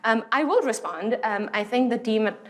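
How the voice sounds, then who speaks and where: A woman's voice: a little high, moderate pace. A woman moderating a panel discussion among clinicians, polite and thanking each speaker.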